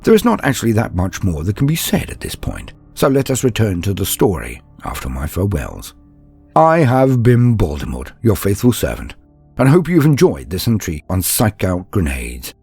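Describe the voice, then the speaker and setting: A man's voice: on the low side, wildly swooping pitch, normal speed, darkish tone, breathy edge, soft background music. A man narrating scripted lore and stories as a channel host, in a formal, grandiose, theatrical manner.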